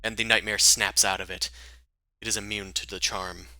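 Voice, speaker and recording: A man narrating as game master in a tabletop game, chatty and relaxed with many fillers. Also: fairly low pitch, normal speed, poor audio.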